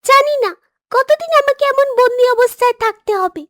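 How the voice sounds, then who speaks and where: A woman's voice: very high voice, lively intonation, moderate pace, a bit bright, no echo. A woman voicing a frightened, plaintive little duckling in a cartoon. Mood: regretful. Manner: pleading, plaintive. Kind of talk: cartoon dialogue. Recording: music behind the voice.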